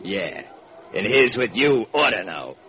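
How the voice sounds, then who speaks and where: Man playing a low, menacing gambling-house bouncer. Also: sing-song, measured pace, bright, forceful tone, heavily acted. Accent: American. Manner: terse underworld tough talk. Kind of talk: old-time radio crime drama acting. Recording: noisy old radio recording.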